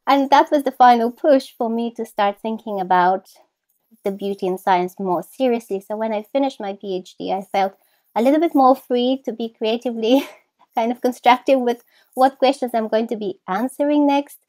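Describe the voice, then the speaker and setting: A woman's voice: on the high side, moderate pace, darkish tone, no echo. A woman with a Bulgarian accent giving thoughtful, enthusiastic, reflective answers as an academic interview guest. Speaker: guest, philosopher of science.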